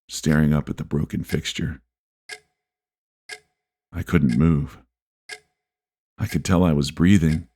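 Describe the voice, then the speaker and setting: Male horror story narrator, rumbling bass pitch, soft dark timbre, deliberate pacing with pauses, scripted first-person storytelling.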